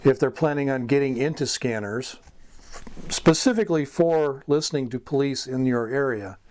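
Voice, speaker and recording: A man hosting an informal hobby lesson, explaining step by step in a halting way and repeating words as he goes. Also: mid-range voice, a little mellow, slight background noise. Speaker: radio hobbyist host giving beginner advice. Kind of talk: informal instructional talk.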